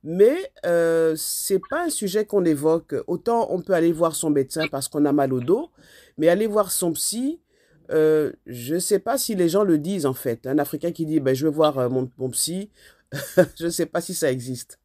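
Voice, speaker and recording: A woman's voice: low voice, animated, normal speed, warm tone, no room sound. Female radio interviewer, very dry sound. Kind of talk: conversational interview.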